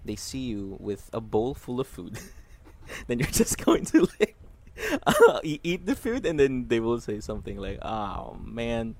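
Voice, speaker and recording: A man's voice: medium pitch, wildly swooping pitch, measured pace, a little mellow. A man hosting a casual podcast chat, laughing often and slipping into playful quoted asides. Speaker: podcast host.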